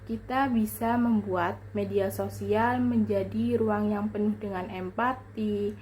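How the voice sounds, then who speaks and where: A woman's voice: mid-range voice, fairly even intonation, takes it slowly, darkish tone, a little hiss or hum, rough recording. A young woman gives a formal, careful scripted presentation as a student. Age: young adult.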